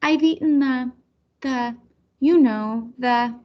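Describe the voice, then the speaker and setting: Woman voicing a halting, high-pitched elderly grandmother. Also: highs cut off. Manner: halting, searching for words. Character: forgetful elderly grandmother, halting, easily annoyed, affectionate.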